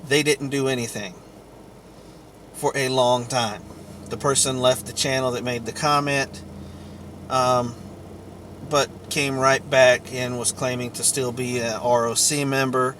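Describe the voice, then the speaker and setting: Male vlogger, unhurried and halting, telling a rambling personal story; faint vehicle-like noise behind. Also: mid-range voice, little pitch movement, a bit bright. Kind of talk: rambling personal account to camera or mic.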